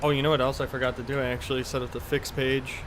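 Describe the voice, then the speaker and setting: A man chats casually as he streams a flight simulator, rambling along in an offhand way with the odd mild swear. His voice sits at an average pitch, with a faint steady hum of airy cabin-like noise behind him. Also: fast talker. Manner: rambling, offhand, mildly profane. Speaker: flight sim live streamer. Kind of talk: casual chat while playing a flight simulator.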